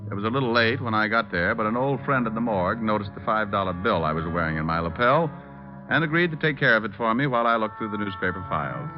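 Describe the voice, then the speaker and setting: A man's voice: fairly low pitch, animated, fast talker, close to an everyday voice. An American man playing a cocky, wisecracking private detective in 1940s radio drama style, wry and flippant. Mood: wry narrating. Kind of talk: 1940s radio drama acting and first-person narration. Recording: dull old radio recording with music.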